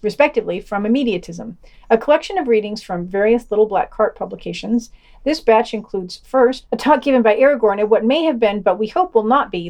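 A woman's voice: mid-range voice, darkish tone. A woman reading scripted listings and short reviews as a podcast news reader.

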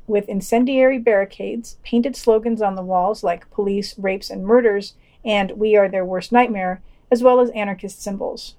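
A woman's voice: on the high side, a little mellow. A woman hosting a podcast, reading a scripted news digest with quotes and commentary in a dry, wry manner.

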